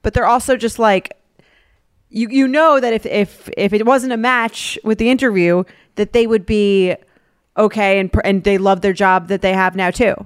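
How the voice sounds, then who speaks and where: A woman's voice: mid-range voice, fairly even intonation, moderate pace, clean tone, dry recording, studio quality. A woman co-hosting a podcast, giving advice in a casual, conversational way as she thinks aloud. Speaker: podcast co-host.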